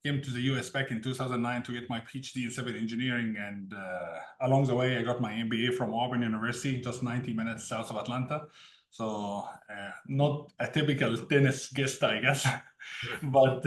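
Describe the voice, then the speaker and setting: A man's voice: mid-range voice, steady tone, normal speed. A man from Egypt, a tech founder telling his story as an interview guest in an easygoing way, often laughing between sentences. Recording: roomy echo.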